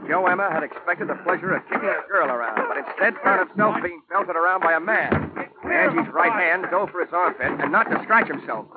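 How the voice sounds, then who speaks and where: A man's voice: high-pitched, expressive, fairly quick, heavy background noise. An American man acting a tough, wisecracking insurance investigator in a 1940s radio detective drama, wry and hard-boiled. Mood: excited narration. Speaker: lead actor and narrator. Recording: tinny, narrow-band old radio recording.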